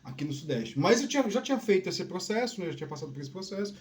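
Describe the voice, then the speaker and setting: A man from Northeast Brazil with a softened Northeastern Brazilian accent, talking in a rambling casual solo monologue full of restarts and tag questions. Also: high-pitched, sing-song, racing, slight background noise. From Northeast Brazil (Piauí family). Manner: rambling, many restarts and "né?" tags. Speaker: podcast host.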